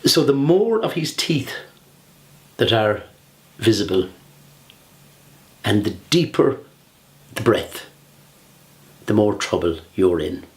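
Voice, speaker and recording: Low-pitched older Irish man, theatrical. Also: very animated, takes it slowly, long pauses. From Ireland. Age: 60s. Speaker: humorous public speaker. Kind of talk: humorous prepared speech to camera.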